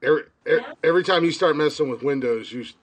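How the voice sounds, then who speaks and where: A man hosting a casual live-stream podcast who talks loudly and laughs often. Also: a little high, racing. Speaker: podcast host.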